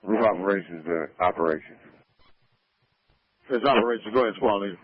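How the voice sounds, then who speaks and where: Low-voiced male firefighter on radio. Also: fairly quick, no echo. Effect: two-way radio. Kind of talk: radio traffic at a fire scene. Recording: dull lo-fi scanner radio audio.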